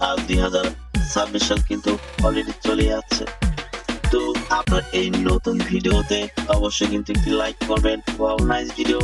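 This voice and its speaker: A man's voice: on the low side, big pitch swings, a little fast, loud music behind the voice, pitched below the performer's own voice. A man voicing a musical magic figure through a melodic auto-tune voice changer. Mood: sing-song demo.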